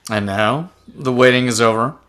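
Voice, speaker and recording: A man's voice: mid-range voice, normal speed, fairly bright tone, natural voice. A man in an amateur table read playing an earnest, sentimental ghost of a husband. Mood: cheerful announcer. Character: earnest, sentimental male ghost reminiscing about his wife. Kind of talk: amateur table read acting over video call.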